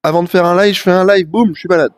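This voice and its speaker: A man's voice: sits high, steady tone, fast, dry recording. Stuffy-nosed young man streaming games. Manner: rambling, slangy, frequent fillers. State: stuffy with a head cold. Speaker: live-streaming gamer. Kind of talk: casual livestream chat.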